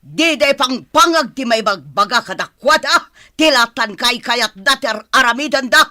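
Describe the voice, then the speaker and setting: Stern wealthy mother, played by an actress, imperious, sharp bright tone, quick-tempered, dry sound, radio drama. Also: on the high side, lively intonation, dry recording. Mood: angry, exasperated. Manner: imperious, scolding. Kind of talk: Ilocano radio drama acting.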